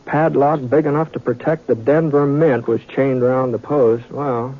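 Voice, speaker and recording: An actor playing an easygoing, reluctant western drifter, mild, hesitant and folksy, in an old radio drama. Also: male voice, medium pitch, steady tone, normal speed, phone-quality sound, close to an everyday voice. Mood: wry, wary. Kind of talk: old-time radio western acting and first-person narration. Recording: thin, noisy classic radio recording with clicks.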